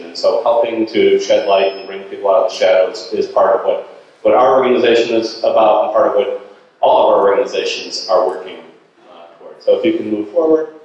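A middle-aged man giving an informal slide presentation in an earnest, halting way, thinking aloud as he goes. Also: lowish voice, darkish tone, dull audio. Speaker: guest speaker, nonprofit director. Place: indoor meeting hall. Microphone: handheld microphone through room speakers. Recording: very echoey room, distant sound.

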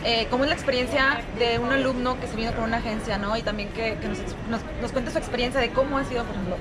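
A woman's voice: fairly high pitch, fairly even intonation, fast talker, a loud crowd. A Mexican woman in her thirties interviewing people on a city street for her vlog, chatty and upbeat, talking straight to viewers. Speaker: YouTube host interviewing students. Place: outdoors on a city street by a pub.

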